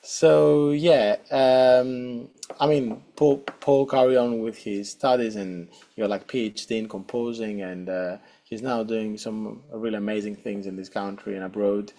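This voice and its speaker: A man's voice: lowish voice, steady tone, unhurried, mellow. A middle-aged man with a Greek accent, answering interview questions hesitantly with many fillers and restarts. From Greece. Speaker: guest saxophonist.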